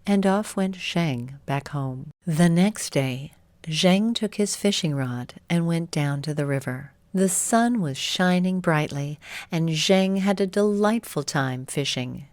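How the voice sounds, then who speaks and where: A woman's voice: lowish voice, animated, slow, a little mellow, no echo. A woman reading a scripted bedtime story as narrator.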